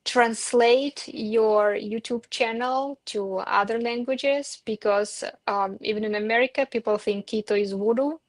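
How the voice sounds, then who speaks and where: Halting woman, higher voice. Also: steady tone, no room sound. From Eastern Europe. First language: Belarusian. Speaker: guest on a livestream. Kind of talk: hesitant personal conversation.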